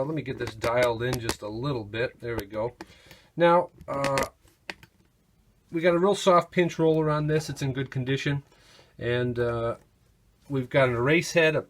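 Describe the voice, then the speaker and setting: A man giving a casual, informal product demo of audio gear, halting a little as he thinks aloud. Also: medium pitch. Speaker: gear seller demonstrating vintage audio equipment. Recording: noticeable background noise, amateur demo recording.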